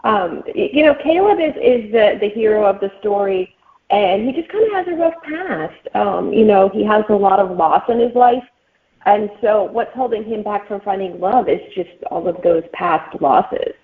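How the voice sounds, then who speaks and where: A woman author chats as an interview guest over a thin, phone-like connection. Her pitch is mid-range, and she explains things informally and conversationally. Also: expressive, moderate pace. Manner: informal explaining with frequent "you know". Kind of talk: casual interview chat. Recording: thin band-limited call sound.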